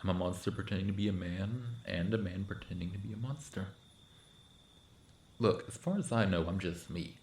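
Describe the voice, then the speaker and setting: An awkward, sardonic monster in a friend's shape, acted by a young man for an audio roleplay. His voice is low and casual, with hesitant, wry phrasing. Some background noise sits behind it. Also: normal speed, a little mellow, reverberant, lightly acted. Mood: awkward, defensive. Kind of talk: audio roleplay acting, spoken to the listener.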